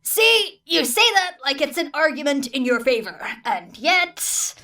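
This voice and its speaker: A woman's voice: sits high, very animated, a little slow, bright, forceful tone, recorded from a distance, pitched above the performer's own voice, full character voice. Woman putting on a weary, exasperated male teacher.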